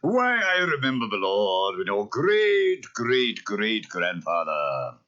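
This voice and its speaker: Gloomy old cartoon butler, low n droning, very formal and servile, swooping delivery. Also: male voice, huge pitch swings, takes it slowly, sharp tone, full character voice. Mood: reverent. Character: gloomy, deferential old vulture butler, proud of evil. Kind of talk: children's cartoon audio story acting. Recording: muffled cassette transfer.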